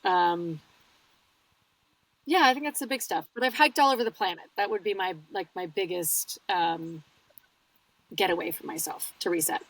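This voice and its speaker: A woman, a guest audiobook narrator, chatting casually in an interview from a small padded home booth and telling her stories with lively swings in her voice. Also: moderate pace, pauses often, dry recording, distant mic, low-quality recording. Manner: animated storyteller with wide pitch swings.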